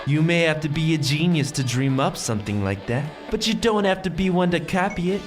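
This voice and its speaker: A man's voice: a little high, expressive, brisk, music in the background, natural voice. An actor playing an envious, cocky man with a sardonic, grumbling way of talking in an audio drama. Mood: scheming, cocky.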